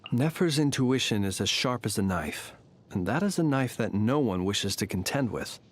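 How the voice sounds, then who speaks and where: An actor playing a calm, wry gentleman knight in a video game, speaking in formal, eloquent, measured phrases with an old-fashioned turn. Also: medium pitch, lightly acted. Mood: composed, admiring. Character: courteous, enigmatic gentleman lamp-bearing knight, calm and wry. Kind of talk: scripted video game character voice lines. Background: music.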